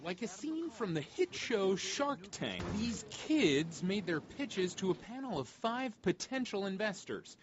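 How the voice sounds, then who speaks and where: A man narrates a TV news package in a high voice with lots of melodic movement. The audio is somewhat dull, with clear crowd sound underneath. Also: big pitch swings, a little slow, few pauses, noisy background. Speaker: TV news reporter.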